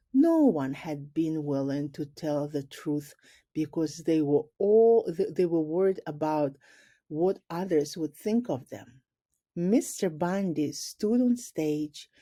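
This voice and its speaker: A woman reading a picture book aloud like a teacher, in a deliberate, explanatory way. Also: lowish voice, warm tone, dry recording, studio quality. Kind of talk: storybook read-aloud with brief explanations.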